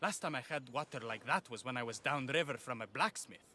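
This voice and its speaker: An actor playing a downtrodden, wry farm labourer in a video game cutscene. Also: male voice, mid-range voice, brisk, pushed and bright, a little hiss or hum, close to an everyday voice. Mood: bitter, blunt.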